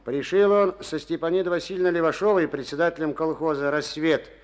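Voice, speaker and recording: A man's voice: on the high side, steady tone, brisk, close to an everyday voice. A man playing a stubborn, middle-aged collective farm chairman in a Soviet radio play, with sly, rustic, grumbling speech. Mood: formal announcing.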